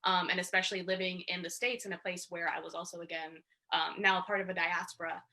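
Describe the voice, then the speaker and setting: A woman from the Caribbean speaks fast and emphatically on a panel, her words firm and well formed. Her voice is low but bright and sharp. She comes through a video call. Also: little pitch movement. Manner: quick, emphatic, articulate. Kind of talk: panel discussion.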